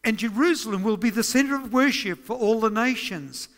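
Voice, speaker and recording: An older man with an Australian accent giving a sermon on prophecy, reading scripture aloud with measured emphasis. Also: high-pitched, a bit bright. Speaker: preacher. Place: church stage, large indoor hall. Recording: long church hall reverb.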